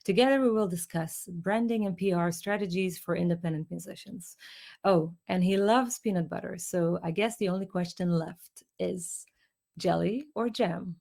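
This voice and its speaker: A woman's voice: fairly low pitch, moderate pace, gravelly, darkish tone. A woman hosting an interview show, asking short, friendly questions. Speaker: radio show host.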